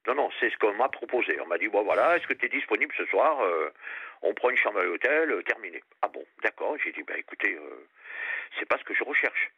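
A man's voice: lowish voice, sing-song, brisk, husky, no room sound. An older man from La Réunion calling a radio show by telephone, talking candidly in an earnest, outspoken way over a muffled line. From La Réunion, France. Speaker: radio phone-in caller. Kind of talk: candid personal conversation.

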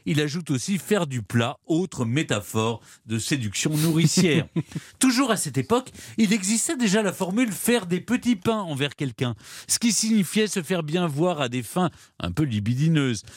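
A man tells the history of old expressions on a morning radio show. His voice rises and falls freely and sits a little high, light and teasing. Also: moderate pace, breathy edge. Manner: lively and amused, teasing banter. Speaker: history columnist. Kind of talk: radio chronicle, playful storytelling. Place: radio studio.

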